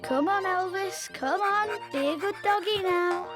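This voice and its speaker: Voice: very high-pitched, unhurried. A performer voicing a confident little child superhero in a children's cartoon, bossy and teasing. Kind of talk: children's cartoon dub acting. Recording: loud music behind.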